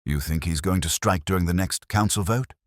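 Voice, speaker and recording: A man playing an earnest, inquisitive police sergeant in a mystery story, with a husky voice. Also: sits low, a little fast, no echo, clean studio sound, voice pushed up, natural voice. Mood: intent, questioning.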